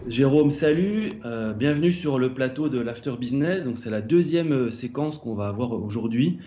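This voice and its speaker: Mellow-voiced male podcast host. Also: medium pitch, animated, moderate pace, lo-fi sound, slight background noise. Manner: hesitant, many fillers. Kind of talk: hosting a podcast interview.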